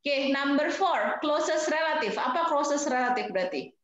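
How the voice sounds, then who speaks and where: A woman's voice: high-pitched, animated, normal speed, fairly bright tone. A woman from Indonesia in her thirties, teaching English vocabulary to nursing students in a chatty way, with English terms mixed into her explanations and quiz questions to the class. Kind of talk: online class lecture mixing two languages. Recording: very echoey, dull lo-fi sound.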